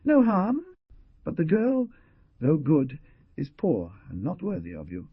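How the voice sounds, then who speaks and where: Man putting on a weak, sweet-voiced young man, pleading, lifted high, husky, dull dry recording. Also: huge pitch swings, unhurried, no echo, heavily acted. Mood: passionate. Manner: passionate, pleading speech.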